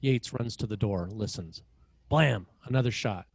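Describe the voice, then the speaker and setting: Low-pitched man narrating a screenplay. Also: unhurried, gravelly, slightly rough, no room sound, studio quality. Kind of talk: scripted narration of screenplay stage directions.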